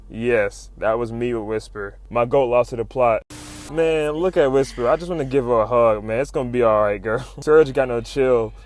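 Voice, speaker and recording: A man giving a casual, slangy commentary with swearing and fannish exclamations, retelling a story like a fan talking to friends. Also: medium pitch. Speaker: YouTube comic recap narrator. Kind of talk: casual hype commentary, retelling comic fights. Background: music under the voice-over.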